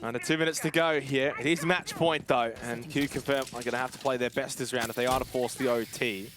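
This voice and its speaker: A man's voice: mid-range voice, steady tone, brisk. A man calling an esports match as a live play-by-play commentator. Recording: clear background noise with clicks.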